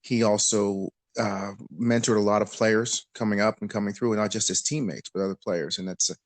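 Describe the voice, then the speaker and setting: A man guesting on a podcast, answering in a low voice that sounds dry and close to the mic. He talks thoughtfully, pausing on many small fillers, and he comes from western Pennsylvania. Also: steady tone, dry recording. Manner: thoughtful, frequent fillers and restarts. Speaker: basketball coach and guest. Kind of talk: interview answers.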